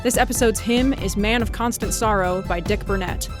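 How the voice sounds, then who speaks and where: A woman's voice: mid-range voice, moderate pace, over music. A woman announcer giving a scripted credits read for an audio podcast.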